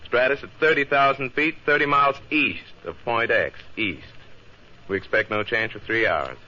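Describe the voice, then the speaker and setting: A man's voice: medium pitch, normal speed, bright, forceful tone. An actor in a 1950s radio drama playing an obedient military man, reporting in a precise, deferential way. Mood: crisp, dutiful. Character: dutiful, obedient military subordinate giving crisp briefings. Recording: dull vintage 1950s radio recording.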